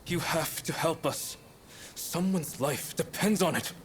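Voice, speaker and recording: An actor playing a loyal, determined young samurai comrade in a video game, speaking with earnest urgency. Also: male voice, fairly high pitch, measured pace, slight background noise, natural voice. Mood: pleading. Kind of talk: dramatic video game acting.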